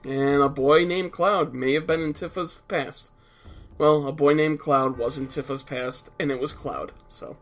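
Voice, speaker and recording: A man's voice: on the high side, fairly even intonation, unhurried. A man with a stuffed-up nose giving casual, explanatory commentary over a video game, blunt and a little profane at times. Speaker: let's play commentator.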